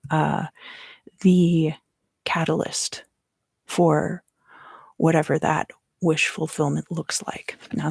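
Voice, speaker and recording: A woman speaks in a low, husky hush with some creak, often slipping into a whisper. She gives an unhurried ASMR tarot reading in short, explanatory phrases. The sound is dry and intimate, right up on the microphone. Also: dry recording. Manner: soft-spoken, often dropping into whispers. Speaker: tarot reader and ASMR host. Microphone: close microphone.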